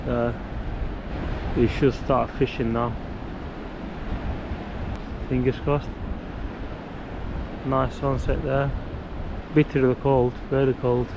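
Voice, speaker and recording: A middle-aged man from Wales giving chatty, halting commentary outdoors by the sea, with many fillers. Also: mid-range voice, level tone, muffled recording. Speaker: fishing vlogger. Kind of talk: casual outdoor vlog commentary. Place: open beach by the sea at sunset, windy. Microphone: action camera on a tripod nearby. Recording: wind noise on an outdoor camera mic. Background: wind.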